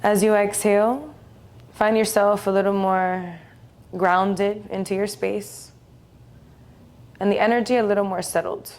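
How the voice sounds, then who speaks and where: A woman leading a guided meditation in a soothing, gentle, unhurried way, leaving long pauses between phrases. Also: mid-range voice, fairly even intonation.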